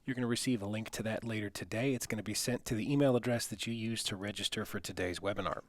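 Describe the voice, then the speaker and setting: A man's voice: on the low side, quick pace, no room sound. A man hosting an online webinar, giving housekeeping announcements in an informal, matter-of-fact way. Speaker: webinar host and moderator.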